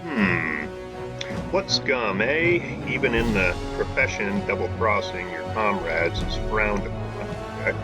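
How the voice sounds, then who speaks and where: A man's voice: fairly low pitch, lively intonation, unhurried. A man hosting a gaming video, chatting casually and rambling with many fillers, often calling the viewers guys. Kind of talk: casual let's-play commentary. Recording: dull lo-fi audio with faint music.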